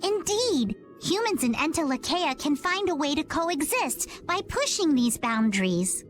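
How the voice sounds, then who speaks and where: Game role: earnest young adventurer girl, high, lively swooping pitch, polite, faint music behind. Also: measured pace. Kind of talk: video game cutscene acting.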